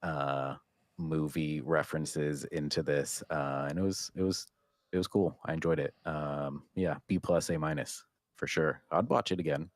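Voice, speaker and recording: Male co-host, notably low-pitched, laid-out in loose, hesitant, rambling casual talk. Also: fairly even intonation, moderate pace, dry recording, clean studio sound. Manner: hesitant, rambling, repeats himself. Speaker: podcast co-host reviewing films. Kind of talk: casual chat.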